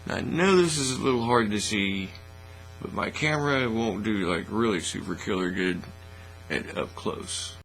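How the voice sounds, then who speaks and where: A middle-aged man giving a casual, folksy, unhurried how-to demonstration at an indoor hobby bench. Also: fairly low pitch, fairly bright tone, poor audio. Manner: folksy, unhurried step-by-step talk. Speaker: hobby craft tutorial host. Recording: faint mains hum and noise.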